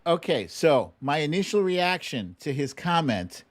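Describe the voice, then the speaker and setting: Animated, casual political commentator. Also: mid-range voice, a little slow. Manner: conversational, swearing; acts out quotes animatedly. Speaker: show host.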